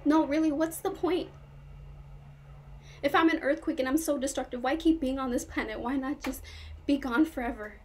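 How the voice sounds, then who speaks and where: A woman's voice: sits high, normal speed, long pauses, darkish tone, slight background noise, natural voice. A woman performing a stage monologue as a troubled young woman, intense, wounded and pleading with her lover. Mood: despairing, bitter.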